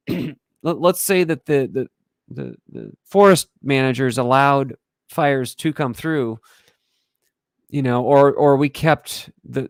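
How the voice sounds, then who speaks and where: A hoarse man talking casually on a livestream, rambling and halting, and often clearing his throat. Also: mid-range voice, dry recording, clean studio sound. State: hoarse from breathing smoke. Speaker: livestream host. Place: home in wildfire country.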